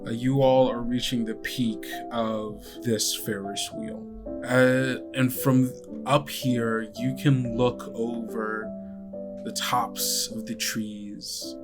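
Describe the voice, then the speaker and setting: Casting: game master, unhurried scene-setting, mid pitch, guitar music underneath. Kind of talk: improvised tabletop game narration.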